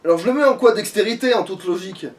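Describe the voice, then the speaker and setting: A man's voice: high-pitched, racing, distant mic. A man giving casual, sweary, slangy commentary while playing a video game. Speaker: let's player playing on camera.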